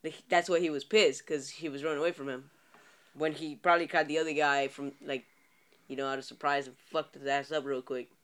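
A man chatting casually on a podcast with friends, his voice bright and smooth with a slightly raised pitch. He gets animated and restarts his sentences often. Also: a little fast.

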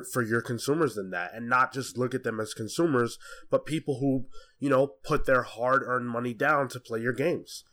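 A man co-hosting a podcast, giving his opinion in an earnest, measured, casual argument. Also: mid-range voice, fairly bright tone. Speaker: podcast co-host.

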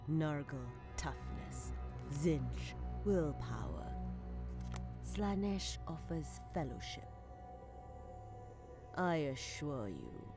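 An actress playing a calm, cryptic occult seer in an audio drama, soft and measured. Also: female voice, deep voice, full character voice.

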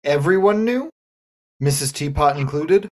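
A man's voice: on the high side, animated. Man playing a patient, probing detective. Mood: polite, inquisitive. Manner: measured, polite, insistent questioning. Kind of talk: dramatic reading of comic murder mystery.

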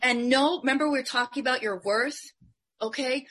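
Forceful, bright-voiced motivational woman. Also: sits high, brisk. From Colombia. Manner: emphatic, motivational, animated. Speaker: panelist. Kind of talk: live online panel discussion.

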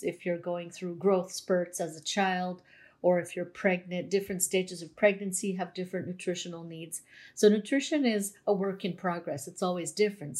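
A woman's voice: on the low side, darkish tone. Canadian lady naturopath chatting, explains stuff with everyday examples. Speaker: naturopathic doctor guest. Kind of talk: explanatory interview talk.

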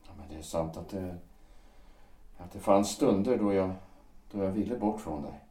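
A man's voice: on the low side, warm tone, natural voice. An actor playing a man who pleads with a former lover, faltering and restarting as he speaks, recorded at a distance in a roomy space. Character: aging male poet pleading over lost love. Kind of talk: audio drama acting.

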